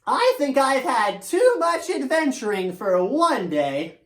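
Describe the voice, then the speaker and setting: A woman voicing an anxious, eager young male horse with a high, pleading way of talking. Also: very animated, takes it slowly, roomy sound, heavily acted. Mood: weary.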